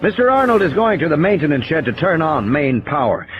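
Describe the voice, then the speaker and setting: A man voicing a gruff, blunt game warden who gives terse, commanding orders in an audio drama. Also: fairly high pitch, sing-song, a little fast, highs cut off, a little hiss or hum, voice pushed up. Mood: commanding, urgent.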